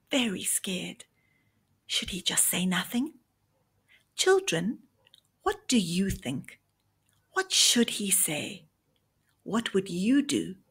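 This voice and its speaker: A woman narrating a read-along storybook for young children in a deliberate storytelling manner. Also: fairly low pitch, a bit bright, slightly rough.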